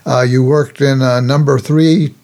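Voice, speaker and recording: An older man hosting a casual interview over a video call, relaxed and chuckling often as he asks his questions. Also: medium pitch, measured pace. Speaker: podcast host, psychologist. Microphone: remote call microphone.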